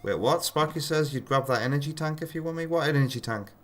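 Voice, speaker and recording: A man's voice: a little high, fast, a little hiss or hum. Chatty British man streaming a game. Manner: chatty, reads chat messages aloud and mutters at the game. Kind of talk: casual live-stream chat while gaming. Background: game music.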